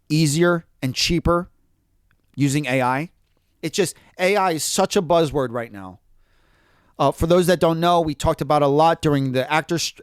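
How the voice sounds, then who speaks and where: Male podcast co-host, animated talker, crisp voice, dry close-miked sound. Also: fairly high pitch, no room sound. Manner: animated, quotes people in acted asides. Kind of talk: casual podcast discussion.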